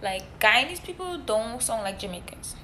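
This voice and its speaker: A woman's voice: fairly high pitch, sing-song, moderate pace, pushed and bright, a little hiss or hum. Exasperated woman, Caribbean accent. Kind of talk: casual talk to camera.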